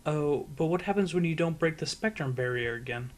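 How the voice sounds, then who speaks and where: A man's voice: a little high, animated, fairly quick, close to an everyday voice. A man voicing a studious young female unicorn pony in a storybook reading, polite, earnest and a little hesitant. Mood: earnest, thoughtful. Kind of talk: fan fiction audiobook character voice.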